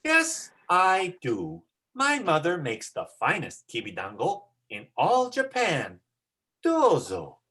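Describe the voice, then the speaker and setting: A man's voice: on the high side, huge pitch swings, slow pace, sharp tone, full character voice. A man playing a brave, kindly young hero with earnest, declamatory delivery in a folktale reading. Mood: warm, encouraging.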